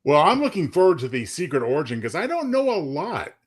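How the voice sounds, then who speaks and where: A man's voice: a little high, sing-song, fast talker, slightly rough. A man co-hosting a radio show in casual, conversational chat.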